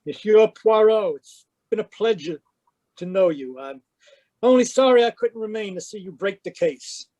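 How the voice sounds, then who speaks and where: A man's voice: high-pitched, animated, moderate pace, dark tone, low-quality recording. A man voicing a nervous impostor in an old-time radio play, flustered and stammering with overly polite talk. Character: nervous male impostor posing as a businessman.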